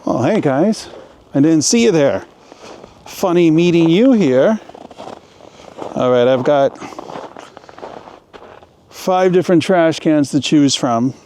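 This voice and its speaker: A playful, jokey man talks casually as a travel vlogger on a handheld camera. His voice sits a touch high, and clear street noise runs under it outdoors. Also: animated, slow, pauses often, warm tone. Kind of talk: casual vlog narration. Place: outdoors in a town and in shops.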